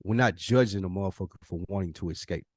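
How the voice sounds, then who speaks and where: A man's voice: deep voice, quick pace, a little mellow, no echo, clean studio sound. An American man chatting casually on a podcast in a blunt way, with street slang and swearing. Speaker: podcast host. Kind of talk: casual chat.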